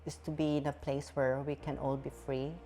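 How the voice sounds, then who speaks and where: A woman's voice: rumbling low, little pitch movement, moderate pace, warm tone, soft background music. A woman originally from the Philippines speaking earnestly and unhurriedly in an interview. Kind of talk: personal statement.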